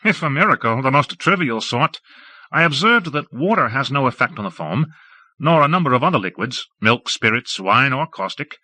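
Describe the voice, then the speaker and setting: A man's voice: mid-range voice, animated, normal speed, sharp tone, dry recording, pitched above the performer's own voice. A man voicing a nervous young male apprentice who explains his idea eagerly. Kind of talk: audiobook character voice.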